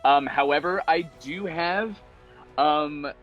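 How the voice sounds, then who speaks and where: A man's voice: a little high, slow pace. Dull-sounding recording of a playful male gamer. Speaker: player in a tabletop roleplaying game. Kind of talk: casual, playful game chat. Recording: slightly dull lo-fi mic, music behind.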